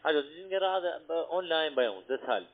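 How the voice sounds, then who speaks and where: A German speaker, a man working as a call-centre agent, talking curtly and insistently in halting phrases over the telephone. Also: on the high side, lively intonation. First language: not German. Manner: curt, insistent, in halting non-native phrasing. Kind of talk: phone sales call, argumentative. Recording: tinny narrow phone line.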